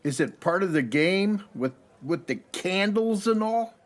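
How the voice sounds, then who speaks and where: Man acting a playful joker, animated intonation, unhurried delivery, jovial storyteller. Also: high voice. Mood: curious, friendly. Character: playful, chatty American man telling a long joke.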